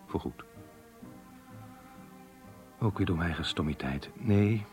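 Casting: weary, self-doubting husband and schoolmaster, radio drama, deep restrained voice. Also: male voice, expressive, takes it slowly, a little mellow, soft background music. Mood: self-doubting, remorseful. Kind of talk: Dutch radio play acting and monologue.

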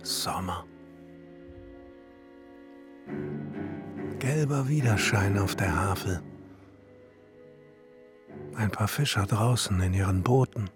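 An actor playing a pensive, exacting alchemist and master glassmaker in a literary radio play, speaking in a measured, musing way. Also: male voice, on the low side, lightly acted. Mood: contemplative. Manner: measured, musing, at times hushed and breathy. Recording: music behind the voice. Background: music.

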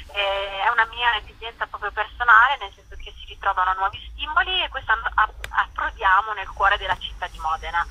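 A woman answers interview questions in a bright, forward voice with a husky grain. She explains as a festival director would, in a conversational way, pausing hesitantly as she goes. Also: on the high side, no echo, dull audio.

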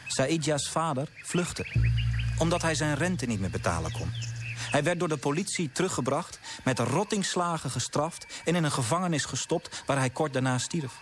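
An actor playing an earnest young man who tells a sorrowful tale in a measured radio play manner. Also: on the high side, expressive, music in the background, lightly acted.

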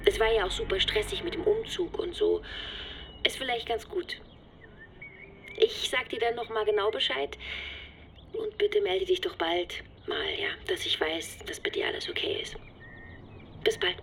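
Breathy, hesitant young woman. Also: mid-range voice, very animated, whispered. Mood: hesitant, tender. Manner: halting, soft, evasive. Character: hesitant, affectionate young woman leaving her partner a message. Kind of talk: naturalistic radio drama acting.

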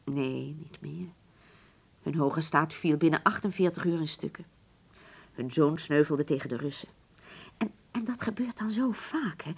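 An actress playing a nostalgic, genteel middle-aged hotel proprietress in a Dutch radio drama, speaking formally and with stately dramatic pauses. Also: female voice, lowish voice, creaky, a little mellow, husky, full character voice.

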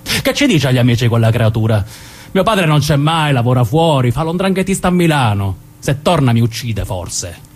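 An actor playing a dead mobster, bitter and reflective, reproaching in a southern Italian accent in a stage drama. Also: male voice, very animated, moderate pace. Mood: reproachful. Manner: bitter, confiding, profane. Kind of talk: dialect stage drama acting. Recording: noisy recording with low rumble.

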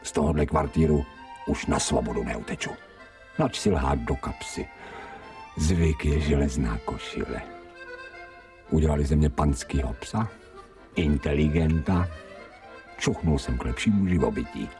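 An actor in a radio drama playing a grumbling, cynical stray dog who thinks aloud like a human in colloquial speech. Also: male voice, very deep voice, pauses often, a little mellow. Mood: wistful, cynical. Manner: colloquial Czech, muttering, pleading when frightened. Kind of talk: radio drama acting, inner monologue. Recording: music behind the voice.

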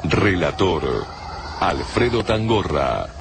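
A man reading radio drama credits as an announcer, formal and deliberate. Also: low voice, very animated, music in the background. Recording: radio credits over noisy backdrop.

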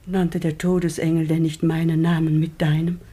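A devoted old wife in mourning for a classical radio drama. Her voice is dark and very breathy, cracked with grief. She declaims and pleads with anguish. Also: deep voice, little pitch movement, normal speed. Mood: anguished pleading. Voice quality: broken, husky, breathy. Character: grieving aged wife, devoted, pleading to die with husband. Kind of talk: solemn classical German radio play acting.

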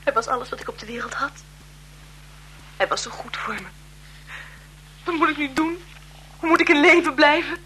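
An actress playing a frail-seeming, calculating blackmailer in a radio drama, deferential and insinuating in manner. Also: female voice, sits high, lively intonation, normal speed, pauses often, bright, forceful tone, low-quality recording. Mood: pleading, grieving. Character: frail-seeming, calculating female blackmailer, photographer's bereaved sister. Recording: 1970s radio recording with noise.

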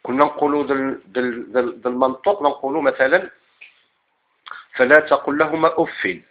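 Moroccan male teacher, classroom lecture, quick patter of questions and answers, dull lo-fi recording. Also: medium pitch, steady tone. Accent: Moroccan Arabic. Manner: quizzes students with repeated questions and answers. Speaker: teacher of Islamic legal theory.

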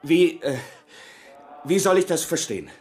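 An actor playing an agitated, insistent musician stranded while travelling, in radio drama dialogue. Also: male voice, fairly high pitch, sing-song, a little slow. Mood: indignant. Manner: insistent, flustered. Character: agitated, indignant male cellist, a stranded traveller.

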